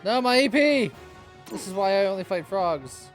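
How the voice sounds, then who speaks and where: A man's voice: sits high, expressive, moderate pace. Jokey man streaming over game music. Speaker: streamer playing a video game. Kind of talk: casual gameplay commentary. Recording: music in the background.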